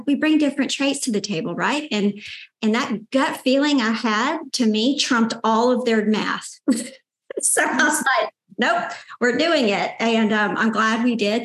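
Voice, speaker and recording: Sweet-voiced Southern woman in an echoey room. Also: a little high. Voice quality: sweet-toned voice. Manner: candid, determined, animated storytelling with restarts. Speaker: guest, founder and CEO. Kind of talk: casual interview chat.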